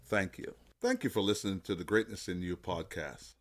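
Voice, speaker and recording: Animated motivational preacher man. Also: low-pitched, moderate pace. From Jamaica. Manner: emphatic, preacher-like, illustrates with simple analogies. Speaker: podcast host, motivational speaker. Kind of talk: motivational faith talk.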